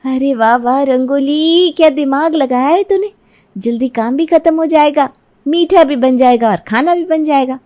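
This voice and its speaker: A woman plays a young daughter-in-law from a cartoon family tale. Her voice sits very high and sugary. She is eager to please, with a lazy schemer's cheer underneath. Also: normal speed, dark tone, close to an everyday voice. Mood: scheming, pleased. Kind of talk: Hindi cartoon moral story dialogue.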